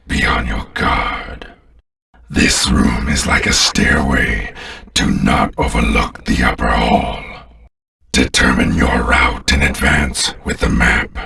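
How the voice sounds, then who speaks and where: A man voicing a sinister, contemptuous alien warlord in a video game, giving pompous, commanding battle orders in a gravelly voice. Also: bright, forceful tone, far from the mic, exaggerated voice. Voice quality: very deep, gravelly. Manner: slow, pompous, disdainful, commanding. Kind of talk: scripted video game character lines.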